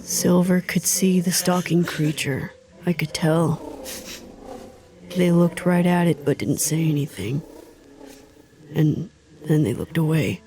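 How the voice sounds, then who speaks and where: A woman playing a watchful, eerie narrator in an audio drama, speaking in hushed, terse, deliberate phrases. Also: low-pitched, a little hiss or hum. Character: watchful, eerie narrator quietly observing a stalking creature.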